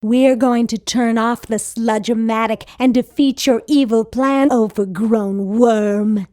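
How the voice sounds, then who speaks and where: Cartoon game sidekick: sardonic young American woman, highish voice, unhurried deadpan, gloomy oddball, close dry sound. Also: dry recording. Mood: defiant. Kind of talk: cartoon adventure game voice acting.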